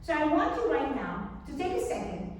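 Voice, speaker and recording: High-voiced woman, echoey hall. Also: moderate pace, far from the mic. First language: Hebrew. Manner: emphatic, builds to excited urging of the audience. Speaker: keynote speaker. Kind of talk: motivational keynote speech. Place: large event hall. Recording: echoey hall, mic from afar.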